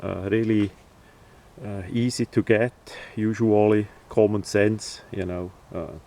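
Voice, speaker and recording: Guy doing a casual how-to, deepish voice, really slow, stops mid phrase a lot. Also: flat delivery, warm tone. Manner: halting, hesitant, pausing mid-phrase. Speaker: presenter of a how-to video. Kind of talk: informal instructional demonstration.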